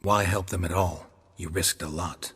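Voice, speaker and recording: An actor playing a gruff, laconic monster hunter in a video game, calm and probing, with a raspy voice and terse questions. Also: male voice, moderate pace, full character voice. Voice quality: very low, gravelly rasp. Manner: terse, flat questioning in clipped sentences.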